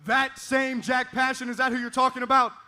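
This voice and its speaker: Brief: cocky teen male wrestler, very high voice, yelling boasts in an arena. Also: level tone, a little fast, faint music behind. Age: teens. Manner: arrogant, boastful, yelling at the crowd. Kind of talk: wrestling promo. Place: indoor arena.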